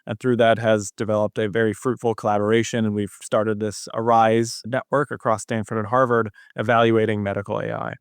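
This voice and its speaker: A man's voice: fairly low pitch, level tone, fairly quick, vocal fry, hardly any pauses, clear, resonant tone, no echo, clean studio sound. A young man speaking as an expert podcast guest, explaining his work conversationally in an interview. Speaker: cardiology fellow, podcast guest.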